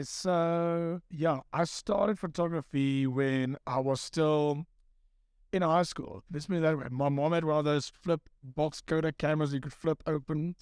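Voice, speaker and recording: A man's voice: fairly high pitch, a little mellow, clean tone, dry recording, studio quality. A man in his thirties from South Africa, a photographer, telling his story in a rambling, self-deprecating way. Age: 30s. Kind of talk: casual chat.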